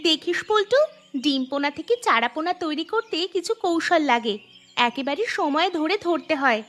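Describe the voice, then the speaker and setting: A woman's voice: high voice, animated, normal speed, fairly bright tone, soft background music. A woman voicing an encouraging cartoon village woman, persuasive and reassuring in her dialogue. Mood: confident, friendly. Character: encouraging village woman planning a fish-fry business.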